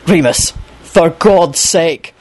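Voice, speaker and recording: Lady doing a scheming wizard guy w a short fuse, intense, pitch moves around a lot, audiobook. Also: sing-song, moderate pace, husky, full character voice. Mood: pleading, threatening. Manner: intense, pleading and threatening. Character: scheming, foul-mouthed, hot-tempered male wizard.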